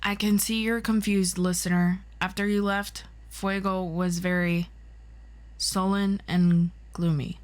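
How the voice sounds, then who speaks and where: A composed, authoritative agency interrogator in an audio roleplay, played by a woman. The delivery is very slow, formal and dry, at a medium pitch. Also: level tone, lightly acted.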